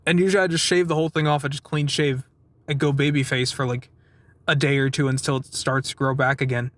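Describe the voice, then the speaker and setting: A man's voice: mid-range voice, fairly even intonation, fast, a bit bright, no echo. A man in his twenties talking in a casual, rambling vlog style, telling stories informally with some swearing and acting out what people said. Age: 20s. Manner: acts out quoted exchanges. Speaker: vlogger giving a weekly update.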